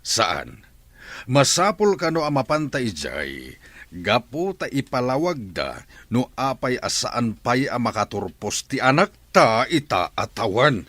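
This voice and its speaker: A man's voice: medium pitch, very animated, a little slow, fairly bright tone, dry recording. A man playing a stern, angry middle-aged father in a drama, with a Filipino accent. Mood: stern, upset. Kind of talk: Ilocano radio drama acting.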